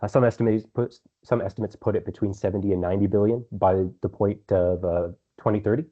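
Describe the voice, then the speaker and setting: A man speaking as a company executive, answering investor questions on an earnings call in measured phrases with some repeated words. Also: fairly low pitch, fairly even intonation. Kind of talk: earnings call answers, semi-prepared business talk. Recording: dull, band-limited conference call audio.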